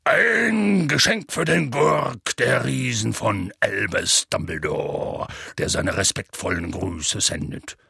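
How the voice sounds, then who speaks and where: A man voicing a huge, gruff, good-natured male half-giant who tells a rambling, folksy story in a deep gravelly rumble. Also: expressive, slow pace, hardly any pauses, sharp tone, no echo, clean studio sound, full character voice. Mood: telling tale. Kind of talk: audiobook character voice.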